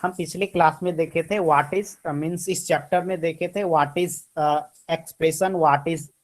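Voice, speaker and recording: A man's voice: a little high, fairly even intonation, very fast. A man with an Indian accent teaching a maths class online over a computer mic, switching between English and Hindi and repeating his points.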